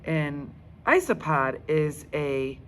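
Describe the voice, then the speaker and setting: A woman's voice: deep voice, sing-song, slow pace, fairly bright tone, slight background noise, exaggerated voice. Woman acting a helpful, lilting museum guide lady. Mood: helpful, informative.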